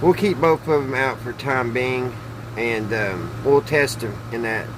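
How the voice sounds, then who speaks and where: A man's voice: mid-range voice, a little slow, background noise. A middle-aged man chatting casually and thinking aloud in a folksy, hesitant way as he demonstrates hands-on tinkering. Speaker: host of a hobby radio repair channel. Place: small wood-panelled indoor workshop.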